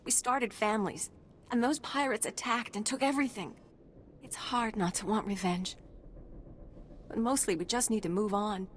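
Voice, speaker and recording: A woman playing a weary but hopeful settler in a video game, soft and sincere. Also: medium pitch, a little hiss or hum, natural voice. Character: weary, gentle female settler, hopeful after loss.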